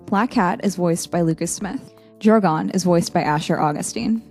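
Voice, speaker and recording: A woman with a fairly low voice reads podcast credits informally as the announcer. Music plays faintly behind her. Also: moderate pace, gravelly, darkish tone.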